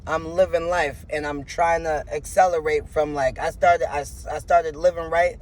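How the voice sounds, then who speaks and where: A man's voice: on the high side, fairly even intonation, normal speed, slight background noise. A man in his thirties with a froggy voice, talking frankly in an interview and rambling with many filler words. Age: 30s. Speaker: interview guest, rapper. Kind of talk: candid interview answers.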